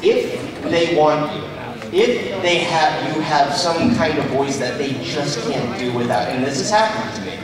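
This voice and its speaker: A man's voice: fairly high pitch, expressive, unhurried. Guy from california on a con panel, rambly and jokey, super echoey hall, mic far off. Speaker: voice actor on a convention panel. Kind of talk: casual, joking panel talk. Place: large indoor convention hall. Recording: echoey hall, distant mic, audience noise. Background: crowd of convention attendees.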